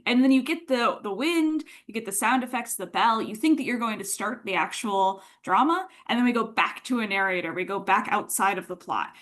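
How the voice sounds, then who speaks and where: A woman's voice: a little high, a bit bright. A woman giving an informal lecture, explaining her examples with enthusiasm in a conversational way.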